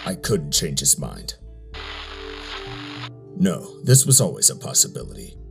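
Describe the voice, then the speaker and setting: A stern, controlling robot strategist, played by a man for a motion comic. He is deep-voiced, clipped and humorless, with a reproachful edge. Loud music plays behind him. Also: wildly swooping pitch, unhurried, creaky, exaggerated voice. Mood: curt.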